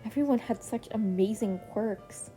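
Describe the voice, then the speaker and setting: A woman narrating fan fiction as a podcast reader, steady storytelling. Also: fairly high pitch, moderate pace, poor audio. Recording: faint harpsichord music behind.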